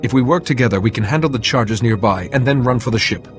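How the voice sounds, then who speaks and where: An actor playing a resolute, composed Jedi leader in a video game, measured and authoritative. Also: male voice, mid-range voice, animated, faint music behind, natural voice. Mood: stern. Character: resolute, composed male Jedi hero leading a mission. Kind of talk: scripted video game acting.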